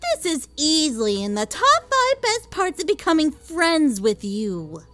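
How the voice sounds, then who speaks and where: A woman's voice: high voice, huge pitch swings, unhurried, bright, forceful tone, voice pushed up, heavily acted. A woman voicing a skeptical young filly pony with a dry, questioning, sarcastic manner in a storybook reading.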